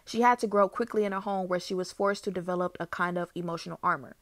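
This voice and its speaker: A young woman hosting her own channel, reading a biography aloud in a steady way with small restarts. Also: fairly low pitch, fast talker, dry recording, clean studio sound. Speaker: YouTube host. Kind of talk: read-aloud biography narration.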